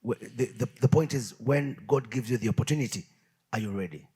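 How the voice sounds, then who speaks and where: A man, a pastor who hosts a TV talk show, speaking in a measured way and repeating words while he gathers his thoughts. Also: mid-range voice, dark tone. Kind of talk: studio talk show hosting.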